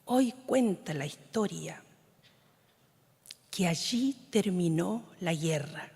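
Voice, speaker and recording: A woman poet from Chile whose mother tongue is Mapudungun, reading her poems in a measured, solemn way, often letting lines fade into a whisper. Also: on the low side, animated, gravelly, a little mellow, breathy edge. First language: Mapudungun (Huilliche). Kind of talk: slow, solemn poetry reading. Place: large conference hall. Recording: long reverb of a large hall.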